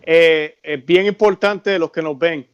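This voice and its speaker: A man's voice: fairly high pitch, a little slow, clean tone, lo-fi sound. A man hosting an interview, recapping points for viewers and checking in with tag questions. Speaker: host.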